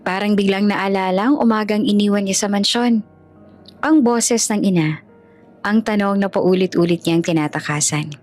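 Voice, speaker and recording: A woman's voice: mid-range voice, moderate pace, a little mellow. A woman narrating a scripted romance story in a dramatic storytelling style. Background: background music.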